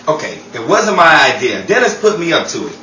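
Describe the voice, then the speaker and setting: A man's voice: fairly high pitch, big pitch swings, a little fast, bright, forceful tone. A man playing a sheepish schemer full of excuses in a 1940s-style radio comedy. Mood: sheepish, defensive. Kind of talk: 1940s old-time radio comedy acting. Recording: echoey distant room, noisy audience ambience.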